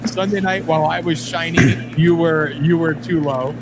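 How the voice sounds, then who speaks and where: A man's voice: on the high side, fairly even intonation, normal speed, over loud music, low-quality recording. A man co-hosting a podcast over a headset, chatting in a rambling, teasing way with many restarts. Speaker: podcast co-host. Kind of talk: casual chat.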